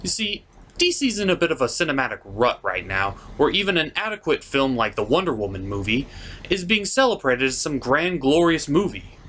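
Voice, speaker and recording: A man's voice: medium pitch, sing-song, fairly quick, a bit bright, a little hiss or hum. A man giving a scripted comedic review as a show's critic, opinionated and sardonic. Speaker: puppet show reviewer.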